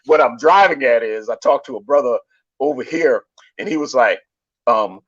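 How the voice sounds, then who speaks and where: A man's voice: mid-range voice, lively intonation, normal speed, a bit bright. A man raised in Savannah, Georgia, with a Southern accent, talking in a casual, preachy way with many restarts. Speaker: show host. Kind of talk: casual motivational talk. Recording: dull lo-fi audio.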